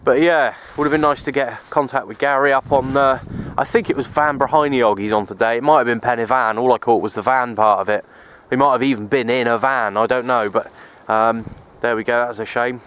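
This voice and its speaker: A man, rambling casually in a low-key, resigned way as he narrates a vlog on a handheld camera. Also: fairly even intonation, brisk, hardly any pauses, fairly bright tone, clean tone. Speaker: outdoor radio hobbyist vlogger. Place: outdoors on an open wooded hilltop. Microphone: handheld camera's built-in mic, held by speaker. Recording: outdoor handheld camera, wind and ambient noise.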